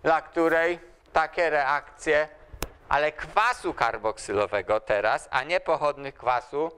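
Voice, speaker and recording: An older man lecturing at a chalkboard in a large hall, pausing often to ask students questions as he writes. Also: on the high side, fairly bright tone, a little hiss or hum. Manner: slow, asks students questions while writing on the board. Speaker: university lecturer.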